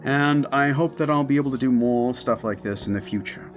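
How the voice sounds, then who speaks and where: A man's voice: medium pitch, brisk, faint music behind. A man who makes audio roleplays, speaking in an upbeat, informal way as he gives a casual announcement to his followers.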